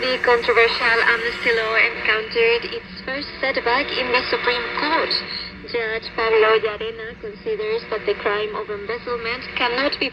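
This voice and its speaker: Higher-voiced woman newsreader on noisy shortwave. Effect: shortwave radio. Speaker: radio news co-presenter. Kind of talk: scripted news reading. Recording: dull, noisy shortwave radio reception.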